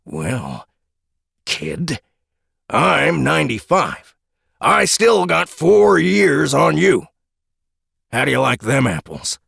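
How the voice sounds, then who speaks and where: A man's voice: on the high side, very animated, slow pace, creaky, long pauses, a bit bright, breathy edge, no room sound, clean studio sound, full character voice. A man voicing a folksy, blunt grandfather in his nineties, a feisty old veteran in an audiobook. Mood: needling. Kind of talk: audiobook character acting.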